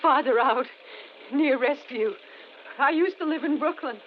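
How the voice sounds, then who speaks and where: A desperate, agitated woman stranded and begging for shelter. Her voice is extremely high and melodramatic, in 1940s radio style. The recording is dull, with faint noise. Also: measured pace. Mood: shaken, exhausted. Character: frantic, pleading woman stranded in a storm. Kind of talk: 1940s radio thriller acting. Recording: muffled, noisy vintage radio recording.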